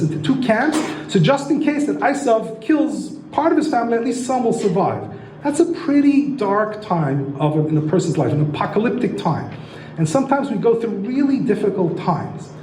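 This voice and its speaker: A man with a high, mellow voice teaches a lecture and keeps tossing rhetorical questions at the audience. His tone is warm rather than sharp, and the room around him rings with a noticeable echo. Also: a little hiss or hum. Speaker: astrology lecturer and author. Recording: roomy echo.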